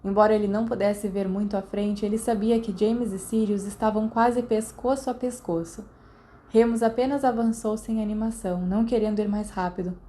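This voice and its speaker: A woman's voice: medium pitch, steady tone, fairly quick, dark tone. A woman with a Brazilian accent reading scripted audiobook narration. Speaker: podfic narrator.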